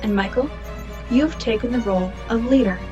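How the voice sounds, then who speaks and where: A woman playing a maternal, formal starship artificial intelligence in a sci-fi radio play, speaking in precise, measured sentences. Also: a little high, lo-fi sound, music in the background, close to an everyday voice. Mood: calm, precise. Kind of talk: science fiction radio play acting.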